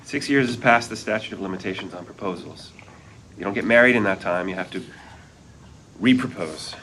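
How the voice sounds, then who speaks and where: A man's voice: lowish voice, a little fast, a bit bright, a little hiss or hum, close to an everyday voice, rough recording. An actor reading an earnest romantic man proposing again at a table read. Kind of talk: table-read line delivery.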